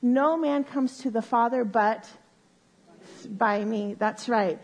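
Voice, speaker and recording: A woman teaching a Bible lesson to a live group on a microphone, explaining slowly and step by step and often checking in with "right?". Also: a little high, poor audio. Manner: says she has a loud voice. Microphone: handheld or stand mic.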